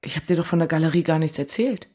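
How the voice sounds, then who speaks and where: Radio play lead: anxious, exalted single woman in love, slightly low-pitched. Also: quick pace, dark tone. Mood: surprised, flustered. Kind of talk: German radio play acting.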